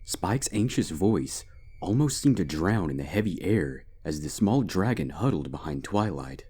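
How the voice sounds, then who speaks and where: Deep-voiced man narrating a dark story. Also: animated, measured pace, husky. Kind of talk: dramatic scripted narration of dark fan fiction. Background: background music.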